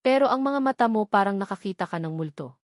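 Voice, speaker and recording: A woman's voice: medium pitch, expressive, quick pace, no room sound, studio quality, natural voice. A woman voicing a kindly older neighbour in a narrated story, caring and worried like a second mother. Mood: gently probing.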